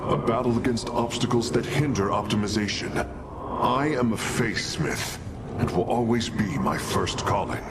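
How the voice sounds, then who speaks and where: Man playing a lowish, earnest alien engineer. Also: animated, normal speed, close to an everyday voice. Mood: earnest reflection. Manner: formal, thoughtful, precise. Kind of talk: dramatic sci-fi game cutscene acting. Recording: very noisy ambient sound behind.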